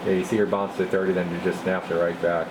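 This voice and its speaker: A man with a fairly low voice talks casually through a hands-on bench test. He sounds like a technician, informal and half muttering to himself as he goes. The recording is very noisy, with a heavy hum behind him. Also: level tone, fast talker. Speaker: radio repair technician presenting his bench test. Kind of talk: casual off-the-cuff demonstration commentary. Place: indoor radio repair bench.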